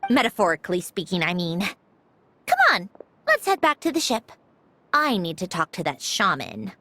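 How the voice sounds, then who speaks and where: An actress voicing a self-assured, haughty young woman scientist in a game, explaining things in a crisp, condescending way. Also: on the low side, very animated, moderate pace, pushed and bright. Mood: brisk, matter-of-fact. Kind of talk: scripted video game character dialogue. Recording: game music behind the voice.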